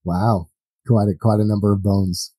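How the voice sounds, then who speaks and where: Jokey male game master, halting delivery. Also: on the low side, fairly even intonation, warm tone, breathy edge, dry recording, studio quality. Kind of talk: casual tabletop game narration.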